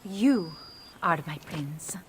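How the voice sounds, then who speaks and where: An actress playing a gentle, loving wife in a video game, with a soft, tender manner. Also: female voice, on the low side, expressive, drawn-out words, a little hiss or hum. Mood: tender, grateful. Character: gentle, loving Italian wife, rescued captive.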